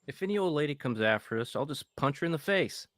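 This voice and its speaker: A man's voice: on the high side, animated, fast talker, a bit bright, no echo, clean studio sound. A man playing a cocky, pushy young camper egging his friends on in a live horror script read. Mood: brash. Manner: brash, pushy, scheming.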